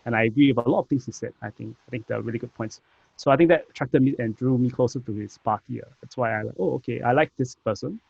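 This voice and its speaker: Fast, gravelly young Singaporean man. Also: mid-range voice, a little mellow, no echo, lo-fi sound. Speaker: first-time voter and party volunteer on a discussion panel. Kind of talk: casual group discussion.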